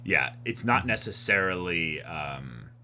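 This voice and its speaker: A man giving casual live reaction commentary as a show host, excitable and quick to exclaim. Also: sits low, over music.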